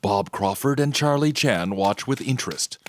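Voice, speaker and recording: A man's voice: mid-range voice, animated, normal speed, slight background noise. A man delivering scripted narration in the style of an old-time radio mystery.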